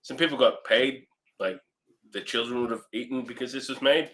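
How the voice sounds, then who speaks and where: A man's voice: medium pitch, normal speed, a bit bright. A man co-hosting a casual movie review chat with a dry, sarcastic manner. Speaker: co-host of a movie review series.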